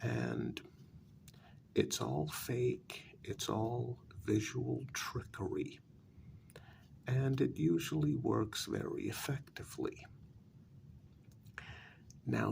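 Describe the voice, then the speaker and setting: A man playing an older, vain, sarcastic gentleman vampire in an ASMR role-play, speaking softly and breathily and dropping into whispers. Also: medium pitch, creaky, slight background noise, exaggerated voice. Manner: slow, deliberate, dryly condescending.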